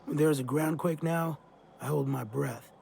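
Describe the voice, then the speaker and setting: An actor playing a sly, boozy old mercenary with a gravelly voice and folksy, slangy talk in a video game. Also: male voice, on the high side, a little slow, a little mellow, faint music behind. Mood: uneasy, wistful. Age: older. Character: gruff, sly, boozy old mercenary drifter with a guilty streak. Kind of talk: video game character dialogue.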